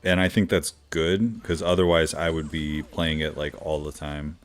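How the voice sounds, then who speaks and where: A man streaming a game live, chatting casually with viewers, with a smooth radio-like voice and a slightly stuffy nose from allergies. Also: a bass voice, moderate pace. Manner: relaxed, chatty, greets viewers by name. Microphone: decent studio microphone.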